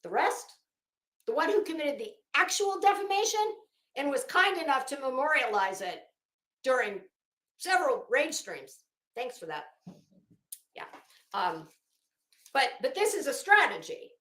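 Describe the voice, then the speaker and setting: Casting: female opinion commentator, slightly high voice, unhurried pace, emphatic and cuttingly scornful, foul-mouthed, dramatic pauses. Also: sing-song, sharp tone, echoey room, rough recording. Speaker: online commentator. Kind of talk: informal opinionated commentary on a legal dispute.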